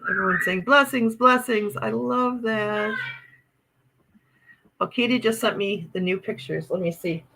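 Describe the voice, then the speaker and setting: A woman's voice: mid-range voice, a little slow, vocal fry, bright, forceful tone, a little hiss or hum, rough recording. A woman from Wisconsin with an Upper Midwest American accent, chatting casually on a livestream, reading viewer comments aloud in a bubbly, exclamatory way. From Wisconsin, USA. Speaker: livestream host.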